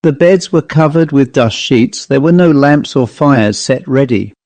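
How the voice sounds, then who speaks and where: A male audiobook narrator reads a literary ghost story. His voice sits at a middle pitch, and the recording is close and dry, with no room around it. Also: animated, measured pace, a little mellow, dry recording.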